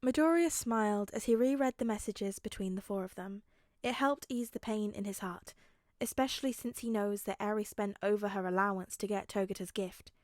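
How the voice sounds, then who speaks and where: A woman's voice: mid-range voice, moderate pace, darkish tone, no echo, clean studio sound. A woman reading a story aloud as scripted audiobook narration. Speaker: audiobook reader.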